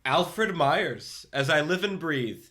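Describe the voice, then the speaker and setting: A smug police inspector acted by a man in a radio play, with a highish, forceful voice. He is sardonic and patronizing, leaning on his words as he pries and insinuates. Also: expressive, a little hiss or hum, heavily acted. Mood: mocking. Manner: sardonic, patronizing, drawn-out emphasis. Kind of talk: radio drama acting.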